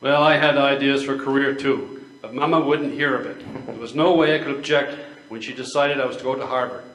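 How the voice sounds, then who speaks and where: A man's voice: medium pitch, steady tone, brisk, a bit bright, close to an everyday voice. An older man playing a genteel, self-deprecating would-be actor in a confiding, conversational stage play reading. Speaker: amateur readers theater performer. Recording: echoey room.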